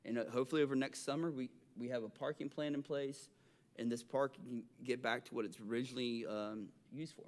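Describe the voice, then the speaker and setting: A man with a Southern accent from Alabama, speaking at a city council meeting in a halting way, with restarts and fillers. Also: medium pitch, fairly even intonation. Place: council chamber.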